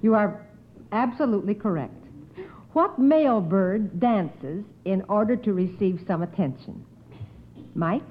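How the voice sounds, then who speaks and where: A woman's voice: medium pitch, animated, a little slow, slight background noise. A woman hosting a radio quiz in a polite, formal way, reading out questions. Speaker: quiz show host. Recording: muffled old radio recording.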